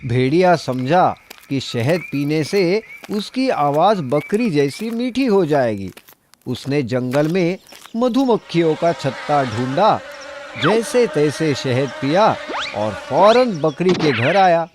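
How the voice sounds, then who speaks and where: A man's voice: on the high side, sing-song, takes it slowly, slight background noise. A man narrating a scripted children's story.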